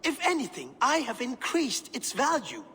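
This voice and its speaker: An actor playing a pompous settler who sees himself as an artist, defending himself theatrically. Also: male voice, very high voice, wildly swooping pitch, moderate pace, pushed and bright, breathy edge, full character voice. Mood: indignant. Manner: pompous, self-righteous, theatrical. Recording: long hall reverb, faint noise.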